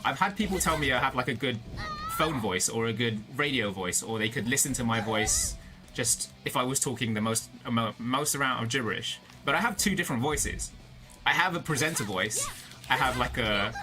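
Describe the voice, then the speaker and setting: Chatty British man streaming over music. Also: medium pitch. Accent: British with a slight American tinge. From Britain. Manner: rambling, laughs often, many fillers and restarts. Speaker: VTuber streamer. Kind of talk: casual chat with stream viewers. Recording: music playing behind the voice.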